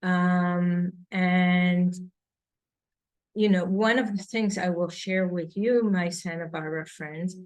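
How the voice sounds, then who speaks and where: A woman's voice: lowish voice, steady tone, takes it slowly, a little mellow. A woman moderating a student panel, who hesitates and searches for her words.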